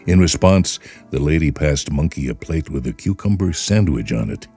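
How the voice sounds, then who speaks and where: A man reading a story aloud in a measured, expressive way. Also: rumbling low. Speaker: narrator. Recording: music bed under the voice.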